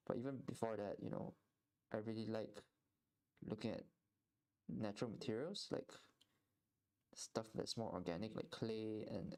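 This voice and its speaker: Low gravelly-voiced young man, rambling. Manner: relaxed, rambling, repeats words while drawing. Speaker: artist streaming while drawing. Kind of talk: casual live-stream chat.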